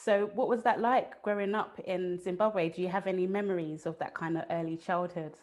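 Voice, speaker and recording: A woman's voice: fairly low pitch, steady tone, a little fast, darkish tone. English woman, host, cheerful, laughs often, video call. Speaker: host and food photographer. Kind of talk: friendly interview over video call.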